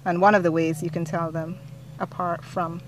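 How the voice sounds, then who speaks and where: A woman's voice: lowish voice, little pitch movement, normal speed, a little hiss or hum. A woman university researcher explaining her work in a casual, interview manner.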